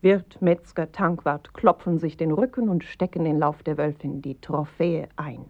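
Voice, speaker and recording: A woman narrating a dark, grim tale in a radio play, with measured, terse phrasing and a husky, breathy voice. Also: deep voice, steady tone, no room sound. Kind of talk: literary radio play narration, slow and deliberate. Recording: dry, slightly dull studio radio recording.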